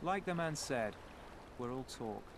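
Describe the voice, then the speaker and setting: An actor voicing a sly, sardonic pirate officer in a video game, smoothly courteous and wry. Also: male voice, medium pitch, animated, measured pace, noisy background. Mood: wry explaining. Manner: mock-polite, wry, eloquent.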